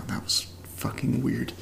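A man playing an uneasy amateur investigator in a found-footage audio drama, muttering haltingly and casually in a gravelly voice. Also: fairly bright tone, slight background noise. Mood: freaked out. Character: uneasy amateur investigator recording a creepy cellar discovery.

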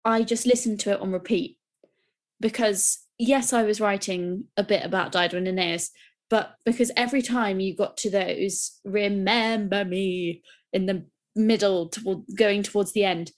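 A woman's voice: mid-range voice, steady tone, moderate pace. Young woman chatting on a podcast, gushy and rambly, lots of restarts. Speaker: podcast co-host. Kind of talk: casual chat.

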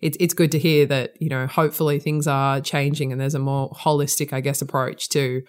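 A woman's voice: rumbling low, flat delivery, a little fast. A woman with an Australian accent, interviewing in a thoughtful, conversational way and often restarting her phrases.

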